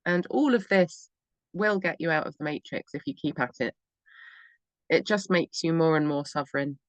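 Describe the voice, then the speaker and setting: A woman talks in a low, encouraging voice as a radio host, rambling along and often laughing. She is recorded dry and close to the mic. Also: normal speed, dry recording. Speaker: radio show host and EFT therapist. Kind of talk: casual spoken advice on a talk radio show.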